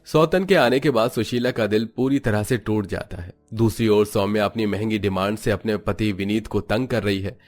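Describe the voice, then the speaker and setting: A man's voice: on the low side, normal speed, few pauses, faint music behind. A man giving scripted, melodramatic narration for a cartoon family story.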